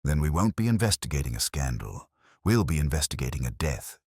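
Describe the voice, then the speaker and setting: Composed detective inspector, acted by a male narrator: very low, slightly husky, cryptic and measured, for a mystery story. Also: big pitch swings, darkish tone, no room sound, clean studio sound, full character voice. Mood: knowing, cryptic. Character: composed, cryptic senior male police inspector, quietly theatrical.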